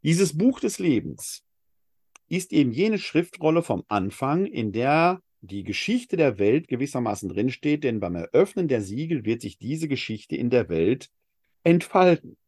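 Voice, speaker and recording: A man from Essen giving a Bible lecture, reading scripture slowly and with weight before explaining it reflectively. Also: medium pitch, very animated, darkish tone, dry recording, clean studio sound. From Germany (Essen). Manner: reads scripture passages slowly and with emphasis, then explains in a reflective tone. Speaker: Bible teacher.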